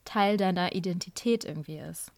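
A woman's voice: fairly low pitch, fairly quick, gravelly, very noisy. A woman co-hosting a podcast, musing thoughtfully about longing and identity with casual fillers. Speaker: podcast co-host.

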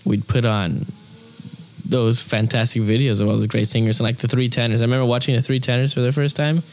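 A young man talking about his singing in a relaxed interview. His voice sits at an average male pitch. He rambles and keeps dropping "you know" and "um" between thoughts. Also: brisk. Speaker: opera singer, interview guest. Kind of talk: casual conversational interview.

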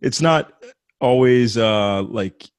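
A man's voice: mid-range voice, slow pace, clear, resonant tone. A man hosting a casual interview, encouraging his guest and affirming him, with plenty of "uh" and "you know". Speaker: interviewer host.

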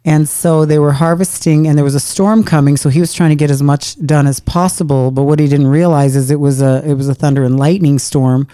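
A middle-aged woman in casual conversation, an earnest storyteller who slows down to stress key moments. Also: deep voice, fairly even intonation, dark tone, no echo, studio quality. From Canada.

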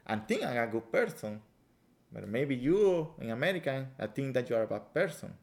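A man from Venezuela, speaking English with a Venezuelan Spanish accent, earnestly explaining his ideas in halting English with many restarts, chatting as a podcast guest. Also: medium pitch, sing-song, creaky, a little mellow. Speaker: yoga teacher, podcast guest. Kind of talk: casual podcast chat.

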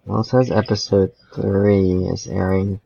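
Deep-voiced man in a noisy recording. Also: level tone, measured pace, vocal fry, warm tone, lo-fi sound. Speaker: podcast co-host. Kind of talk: casual chat.

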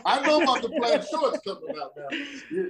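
A man's voice: extremely high pitch, sing-song, gravelly, over a noisy crowd. A man whose first language is Spanish, joking around as a podcast guest and teasing his hosts. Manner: teasing, playful, quick comic asides.

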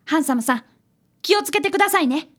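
ラジオドラマで、丁寧な口調の真面目な少年探偵見習いを演じる女性。. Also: female voice, high-pitched, lively intonation, quick pace. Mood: polite, eager. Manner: polite, deferential speech. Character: polite, earnest young boy, apprentice detective. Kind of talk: radio drama acting and narration.

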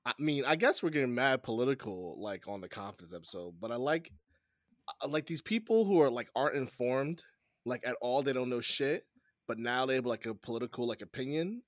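A man co-hosting a casual podcast chat, expressive and rambling, laughing often. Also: medium pitch, a little fast, no echo, clean studio sound. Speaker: podcast co-host.

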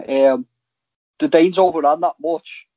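A man in casual, halting podcast chat about TV, rambling as a co-host. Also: on the high side, fairly even intonation, no room sound. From Liverpool, England. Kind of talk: casual chat reviewing TV shows. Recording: slightly dull, lo-fi audio.

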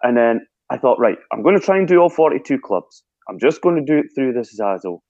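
A Scottish man, a guest telling lively stories in a casual interview and acting out his own past thoughts. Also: mid-range voice, fairly quick, dry recording, dull audio. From Scotland.

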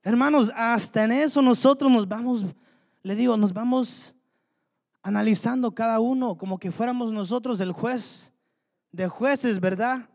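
A man's voice: extremely high pitch, measured pace, darkish tone. A man preaching a sermon, fervently asking the congregation rhetorical questions. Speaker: pastor. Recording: long hall reverb.

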